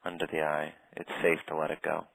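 A man guiding a tapping session in a calm, steady way, naming tapping points and saying short phrases for the other person to repeat. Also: very deep voice, creaky, slightly rough, no echo, poor audio. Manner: calm, slow, leads phrases for repetition. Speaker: tapping practitioner.

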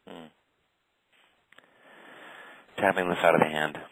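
A man guiding a tapping session in a calm, steady way, naming tapping points and saying short phrases for the other person to repeat. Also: sits low, vocal fry, no echo, rough recording. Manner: calm, slow, leads phrases for repetition. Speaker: tapping practitioner.

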